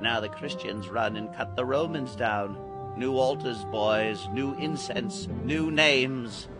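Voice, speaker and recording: A man's voice: medium pitch, slow, lightly acted. An actor playing a theatrical, mysterious older man who guides boys through history, declaiming grandly in an audio drama. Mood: grave, knowing. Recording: loud music bed behind the voice.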